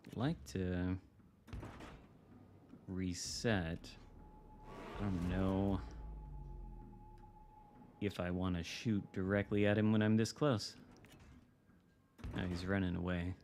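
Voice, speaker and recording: Low-voiced Michigan man streaming a game. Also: little pitch movement, slow, long pauses, a little mellow, a little hiss or hum. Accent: Michigan American. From United States. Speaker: live streamer. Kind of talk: casual live gameplay commentary. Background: video game artillery and gunfire.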